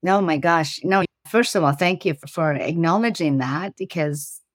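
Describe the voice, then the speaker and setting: Low-voiced woman with a slight Iranian accent. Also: normal speed, no echo, clean studio sound. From Iran. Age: 40s. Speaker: guest, startup CEO. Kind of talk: conversational interview answers.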